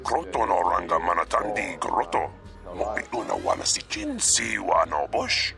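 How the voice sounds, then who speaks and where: A gruff alien creature from a video game, low and extremely gravelly, muttering in an invented tongue. The pitch swings wildly, with music playing behind. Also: male voice, huge pitch swings, moderate pace, exaggerated voice. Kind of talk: video game character dialogue.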